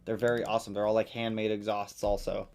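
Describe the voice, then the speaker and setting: A man hosting a casual live stream, reading out viewer questions and answering them in a chatty, relaxed way, his voice tiring after a long session. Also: quick pace. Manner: greets viewers loudly with sudden jumps in pitch.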